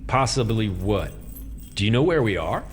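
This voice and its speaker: A man's voice: on the low side, sing-song, normal speed, in a large hall, a little hiss or hum. A man playing a casual, gold-hungry adventurer in a fantasy audio drama, with a folksy, hesitant delivery. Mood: uneasy curiosity.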